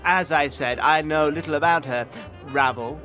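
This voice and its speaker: A man's voice: on the high side, normal speed, bright, forceful tone, poor audio. A man playing a nervous, bookish records clerk in an audio drama, speaking formally and apologetically with a fussy stammer. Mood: scholarly. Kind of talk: acted audio drama dialogue. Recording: music under the voice.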